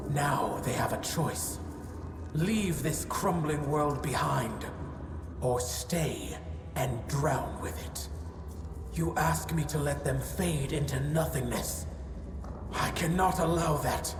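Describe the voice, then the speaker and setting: An actress playing an ancient giantess in a fantasy game, solemn, grieving and fiercely maternal, speaking gravely and formally. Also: female voice, low voice, slow pace. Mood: solemn, defiant. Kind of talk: dramatic fantasy game dialogue. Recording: echoey voice over loud music.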